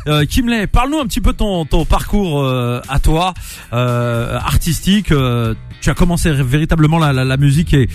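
Joking French radio host, fairly high voice. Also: male voice, animated, normal speed, soft background music. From France. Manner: joking, teasing, many hesitations. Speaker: radio morning show host. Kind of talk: casual radio interview.

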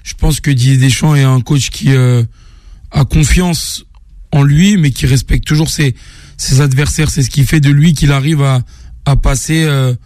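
A man giving calm, measured sports analysis on radio, pausing often. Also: medium pitch, dry recording, clean studio sound. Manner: calm, halting with fillers.

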